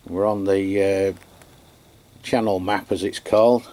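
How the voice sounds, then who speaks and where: An Englishman giving an unscripted product review and demonstration, chatty and matter-of-fact, with a halting delivery. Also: low voice, steady tone, dark tone. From England. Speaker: radio reviewer.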